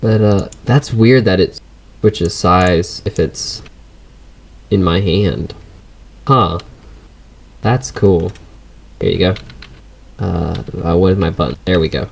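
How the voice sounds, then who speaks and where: A man's voice: deep voice, slow pace, creaky, pauses often. A man in casual, easygoing chat with a fellow player during a game.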